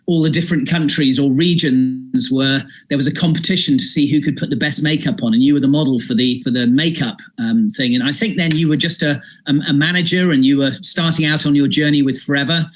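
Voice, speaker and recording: A man's voice: mid-range voice, brisk, few pauses. A man hosting an online event and interviewing a guest over a video call, chatty and effusive. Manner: chatty, effusive, many fillers. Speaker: host and interviewer.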